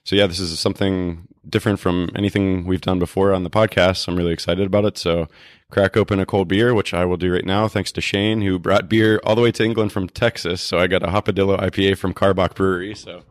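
Man, very deep voice, laid-back host, dry sound. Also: quick pace, hardly any pauses, dry recording. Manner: relaxed, laughs easily. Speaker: podcast host. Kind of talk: casual group podcast.

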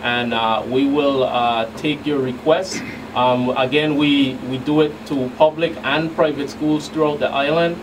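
Halting man on a noisy, distant mic. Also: medium pitch, fairly even intonation. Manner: halting, hesitant, repeats words. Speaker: guest federal investigator on a radio show. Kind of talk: unscripted interview answers. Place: small radio studio. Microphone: studio mic on boom arm. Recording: distant mic, clearly noisy room hum.

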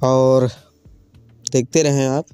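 A man's voice: medium pitch, level tone, takes it slowly, dark tone, clean tone, dry recording, faint music behind. A man narrating a casual farm vlog, informally addressing viewers as friends. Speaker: farm video channel host. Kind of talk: casual vlog narration, showing livestock for sale.

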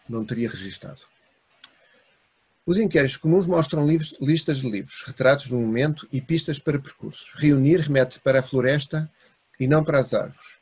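A male academic with a European Portuguese accent delivers a prepared lecture over a webcam from a room lined with bookshelves. His voice is husky and sits at a middle pitch. He speaks in a measured way and breaks his points into short, listed phrases. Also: a little mellow. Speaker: university lecturer. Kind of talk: academic lecture read from notes. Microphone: video call webcam.

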